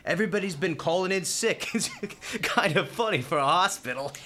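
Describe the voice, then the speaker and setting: Cocky young New Yorker, swoopy pitch. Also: male voice, lively intonation, fairly quick, sharp tone, slight background noise. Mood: casual, cocky. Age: young adult. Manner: slangy, cocky, informal. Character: brash, streetwise, cheeky young male hospital volunteer. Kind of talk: radio play acting.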